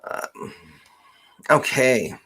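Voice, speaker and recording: A man hosting an unscripted livestream Q&A, speaking haltingly as he thinks aloud. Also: pushed and bright. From Canada. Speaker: genealogist hosting a live Q&A.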